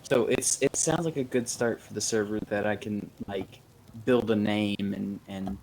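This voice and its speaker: A man talking informally in online game voice chat, halting as he goes. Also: on the low side, fairly even intonation, a little mellow, low-quality recording. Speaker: roleplay game player. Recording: faint background noise on voice chat.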